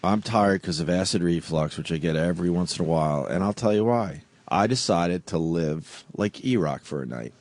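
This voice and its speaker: Male radio host, low voice roughened by reflux, crude jokey banter. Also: normal speed, hardly any pauses, darkish tone. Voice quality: voice deepened by acid reflux. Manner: crude, joking, laughs often. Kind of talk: casual morning radio banter. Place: radio studio.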